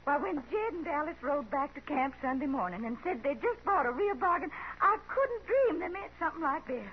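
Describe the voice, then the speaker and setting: A woman's voice: sits high, lively intonation, fairly quick. A woman playing a talkative, meek old frontier mother in a radio Western, with a folksy rural American accent. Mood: eager, hospitable. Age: older. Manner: folksy, chatty, apologetic. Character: lonely, talkative old frontier mother, meekly devoted to her son. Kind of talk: 1950s radio Western acting. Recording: muffled, noisy 1950s radio recording.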